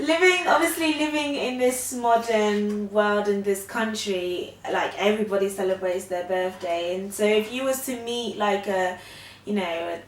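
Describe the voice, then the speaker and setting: A young adult woman hosting a casual talk show interview, upbeat and animated. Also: medium pitch. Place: living room, sitting on a sofa. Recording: echoey room, far from mic, faint hiss.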